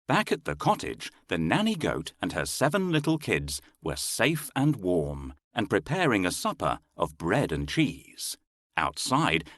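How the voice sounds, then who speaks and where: Male narrator for children's bedtime tale, lowish voice, hugely varied intonation, scripted delivery. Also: a bit bright, dry recording, clean studio sound. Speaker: storyteller.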